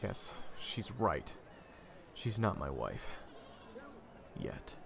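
A man playing a kind, casual, gently teasing young man in an audio drama. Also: low voice, sing-song, very slow, creaky, slight background noise, heavily acted. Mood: mock-romantic.

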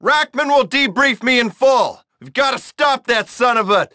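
An actor playing a vain, hyperactive, fitness-obsessed secret agent in a video game, breezy and clipped. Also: male voice, very high-pitched, expressive, normal speed, bright, forceful tone, no echo, studio quality, heavily acted. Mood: pumped, smug.

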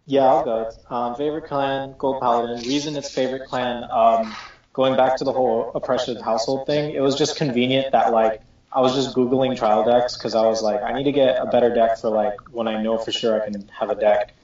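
Young man in his twenties, relaxed slangy group talk; roomy echo, distant dull mic. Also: mid-range voice, brisk. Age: 20s. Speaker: co-host. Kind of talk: casual group chat. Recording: echoey room, distant mic, dull sound.

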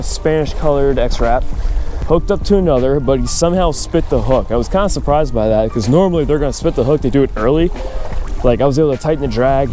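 A man's voice: mid-range voice, slight background noise. A young man talking casually to an action camera while fishing from a kayak out on the open sea, explaining his technique. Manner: excitable, chatty, explains technique. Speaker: fishing vlogger.

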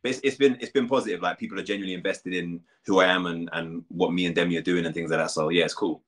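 A man's voice: low voice, fairly even intonation, quick pace. A man in his thirties talking casually as an interview guest, full of filler words. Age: 30s. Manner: chatty, lots of "like" fillers.

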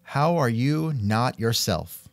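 A man's voice: mid-range voice, expressive, slow pace, dry recording, clean studio sound. A man hosting an interview in a casual, musing way, with wry asides and thoughtful hmms. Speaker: podcast host and interviewer. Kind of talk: casual scripted interview chat.

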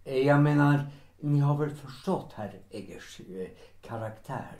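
A man's voice: mid-range voice, darkish tone, natural voice. A man playing an awkward, deferential chauffeur in a 1930s audio drama, with hesitant, stammering politeness. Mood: uneasy. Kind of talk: Swedish audio drama acting. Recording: roomy, echoing interior sound.

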